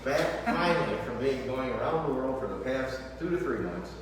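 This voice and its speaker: A man's voice: medium pitch, lively intonation, normal speed. A man hosting a casual interview, jokey and chatty with frequent laughs. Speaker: host. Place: large distillery hall indoors. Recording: echoey hall from far off with noise.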